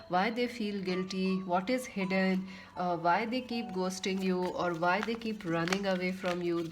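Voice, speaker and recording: A woman's voice: mid-range voice, a little hiss or hum. A woman with a sweet voice doing a casual tarot card reading, speaking earnestly to viewers and calling them friends. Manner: earnest, repeats herself, addresses listeners as friends. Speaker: tarot reader.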